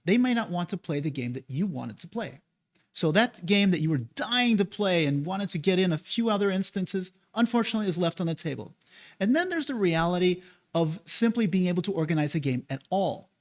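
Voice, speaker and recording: A man hosting his own channel, talking casually and persuasively to the viewer, asking rhetorical questions. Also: sits high. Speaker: board game channel host. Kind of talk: casual opinion monologue.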